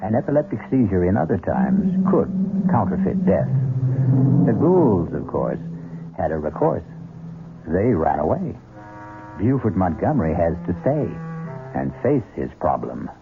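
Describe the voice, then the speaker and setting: A male radio mystery host speaks in a measured, ominous way. His voice is deep and gravelly, and his pitch moves a lot. The broadcast sounds tinny and thin, with music behind it. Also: very animated, background noise. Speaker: radio drama host and narrator. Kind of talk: scripted narration.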